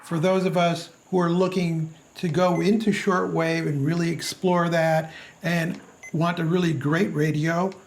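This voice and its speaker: A man's voice: on the high side, creaky, darkish tone. A man presenting a product review as narration.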